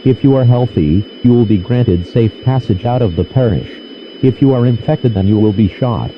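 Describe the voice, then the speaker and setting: A man's voice: medium pitch, normal speed. A man's stiff, synthetic voice reading a formal scripted emergency announcement over a lo-fi, noisy radio broadcast. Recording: dull lo-fi radio with clear noise.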